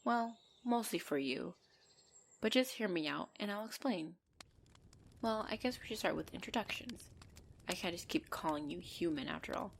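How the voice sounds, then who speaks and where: A woman's voice: on the low side, lively intonation, moderate pace, creaky, a little hiss or hum. A woman voicing a possessive female centaur in an ASMR roleplay, bantering and commanding, with dry exasperation. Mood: firm, conversational. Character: possessive female centaur, bossy, teasing, half-gentle captor.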